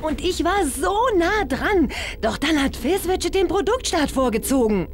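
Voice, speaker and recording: A woman's voice: high voice, expressive, moderate pace. A woman voicing a determined, impatient furry scientist in a game cutscene, speaking assertively. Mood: firm, urgent. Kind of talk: animated game cutscene acting.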